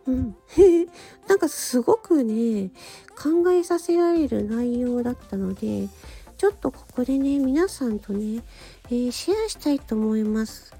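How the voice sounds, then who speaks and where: Female solo radio host, high and mellow, husky-soft edge, gentle polite delivery. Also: animated, measured pace, soft background music. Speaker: radio show host and amateur voice actor. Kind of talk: solo radio-style talk with read-out passages.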